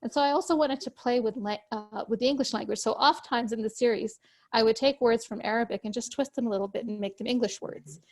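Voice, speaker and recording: A woman whose first language is Urdu speaks in a somewhat high voice with a gravelly, fry-laden edge. She explains things in a measured, thoughtful way, now and then restarting a phrase as she goes. It sounds like a video call. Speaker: guest author.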